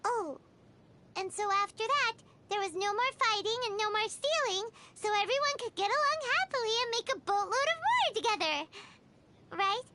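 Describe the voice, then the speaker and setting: A woman voicing a tiny, childlike fairy companion in an anime video game, naive, excitable and bubbly, with a squeaky voice. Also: normal speed, slight background noise, full character voice. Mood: puzzled, chatty. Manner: bubbly, exaggerated, speaks of self in third person.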